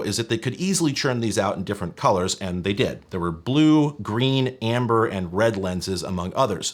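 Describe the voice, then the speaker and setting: A man presenting as a video host, explaining hardware in a casual, wry and emphatic way with colloquial phrasing. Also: on the low side. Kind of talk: casual explanatory hands-on product teardown.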